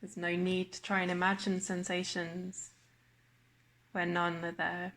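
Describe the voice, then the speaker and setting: Woman guiding meditation in an even tone. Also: on the low side, low-quality recording. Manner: slow, measured, with long pauses. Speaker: meditation guide. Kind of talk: guided body scan meditation narration.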